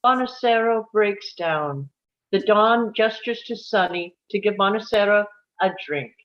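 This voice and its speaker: A woman's voice: mid-range voice, unhurried. A woman narrator reads out screenplay scene headings and directions. The delivery is scripted narration, and the sound is a little dull and lo-fi. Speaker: narrator reading stage directions.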